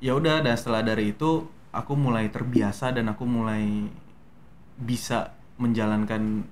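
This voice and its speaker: A man's voice: on the low side, normal speed, slight background noise. Young man from Medan, casual and hesitant. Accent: Medan Indonesian, softened. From Medan, Indonesia. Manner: relaxed, hesitant, many fillers and restarts. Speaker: actor, guest. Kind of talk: casual interview talk.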